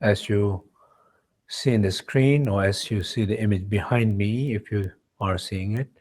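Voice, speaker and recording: Very slow-paced man guiding meditation. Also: lowish voice, darkish tone. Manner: measured, pausing between short phrases. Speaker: meditation teacher leading a retreat. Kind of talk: guided meditation.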